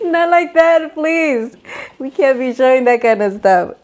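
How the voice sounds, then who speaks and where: A woman's voice: high voice, animated, measured pace, darkish tone. A woman hosting a home cooking show, giving upbeat step-by-step instructions and laughing often. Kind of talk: cooking demonstration. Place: home kitchen.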